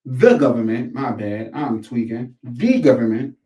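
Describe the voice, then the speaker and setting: A man's voice: mid-range voice, expressive, unhurried, dark tone. An American man giving casual, rambling commentary in heavy slang, swearing and repeating phrases for emphasis. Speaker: host of a commentary show. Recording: echoey room, distant microphone.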